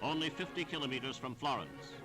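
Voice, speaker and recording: A man's voice: medium pitch, lively intonation, fairly quick. A man narrating a scripted 1940s wartime newsreel in a solemn, declamatory manner on a vintage soundtrack. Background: music.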